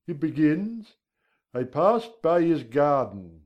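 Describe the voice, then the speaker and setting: A man's voice: on the high side, very animated, slow, full character voice. Man playing a bossy, drawling storybook Gryphon, muffled. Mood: impatient. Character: brusque, impatient, bossy male Gryphon. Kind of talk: full-cast audiobook character acting.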